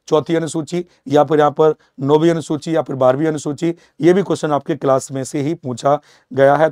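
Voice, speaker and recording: Slightly high-voiced male coaching teacher, dry sound. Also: mellow, dry recording. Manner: repeats points and checks in often with students. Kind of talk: conversational classroom lecture for exam students. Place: indoor teaching studio beside a digital board.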